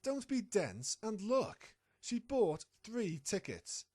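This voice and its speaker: Woman voicing a sly, husky pony reporter. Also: mid-range voice, big pitch swings, slow, darkish tone. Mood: insistent deduction. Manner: confident, conniving, impatient with a partner. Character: sly, scheming pony reporter chasing a scoop. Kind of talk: fan audio drama acting.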